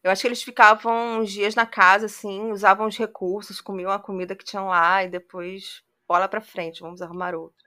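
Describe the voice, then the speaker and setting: Woman podcast host, bright tone, chatty crime storyteller. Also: mid-range voice, little pitch movement, brisk, few pauses. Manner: conversational retelling with frequent "né".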